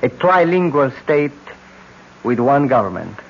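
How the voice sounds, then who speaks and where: An actor playing a weary, compliant young president-to-be with a measured, formal manner in a radio drama. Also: male voice, mid-range voice, very animated, creaky. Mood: composed. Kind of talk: old-time radio drama acting. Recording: dull, hissy old radio broadcast.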